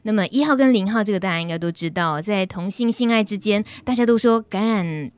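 A woman's voice: medium pitch, a little fast, clear, resonant tone, no echo. A woman with a Taiwanese Mandarin accent, hosting a live talk show and explaining things chattily, straight to her listeners. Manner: chatty, addresses listeners directly. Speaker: radio host.

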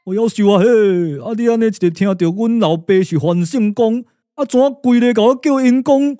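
A man voicing a brash, comic warrior with a Taiwanese accent, blunt, colloquial and excitable, in a glove-puppet radio drama. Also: very high-pitched, a little fast, no room sound. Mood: flustered.

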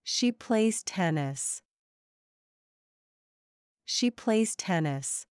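A woman reading English example sentences slowly and evenly for learners to repeat. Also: medium pitch, animated, a little mellow, clear, resonant tone, no room sound, clean studio sound. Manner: careful, evenly paced repetition.

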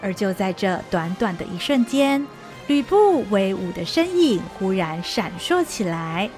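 A woman's voice: mid-range voice, big pitch swings, measured pace, a little mellow, soft background music. A woman narrating a historical radio drama in a vivid, dramatic storytelling style.

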